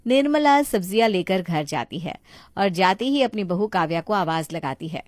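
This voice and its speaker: A woman's voice: mid-range voice, expressive, moderate pace, no room sound, clean studio sound. A woman reading scripted narration for a moral story as its narrator.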